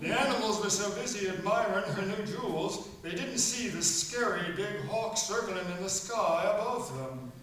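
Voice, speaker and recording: An actor playing a genial storybook narrator, reading scripted narration for a farm radio play. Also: male voice, sits high, animated, normal speed, few pauses, a bit bright. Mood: storytelling. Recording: echoey hall from far off, faint noise.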